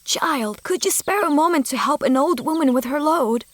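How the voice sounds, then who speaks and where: A woman voicing a kind fairy in the guise of an old woman, speaking in formal, measured pronouncements. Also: high-pitched, animated, no echo. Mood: gently testing. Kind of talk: fairy tale character acting.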